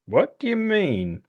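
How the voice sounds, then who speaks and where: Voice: medium pitch, darkish tone, lo-fi sound, a little hiss or hum, exaggerated voice. A person voicing an earnest young male defense attorney, dramatic and emphatic, in a live fan dub of a courtroom game.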